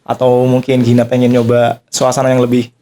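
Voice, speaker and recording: A man's voice: medium pitch, brisk. Polite young man. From Tegal, Central Java. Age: young adult. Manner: polite, steady, agreeable answers. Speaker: cadet guest. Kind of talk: casual podcast interview.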